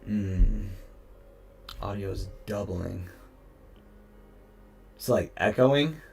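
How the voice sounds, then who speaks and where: A young man with a hoarse, gravelly voice chats casually through a live stream, explaining each step of his photo editing and answering viewers. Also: lowish voice, lively intonation, extremely slow, a little mellow, faint music behind. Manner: chatty, talks through each step, greets chat. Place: home room at a desk. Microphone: headset.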